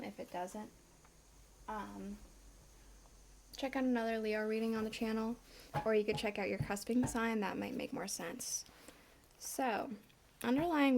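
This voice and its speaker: A woman giving a casual tarot reading, still congested, with a slight cough and a bit winded, talking in a relaxed, chatty way with many fillers. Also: medium pitch, creaky, a little hiss or hum. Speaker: tarot reader.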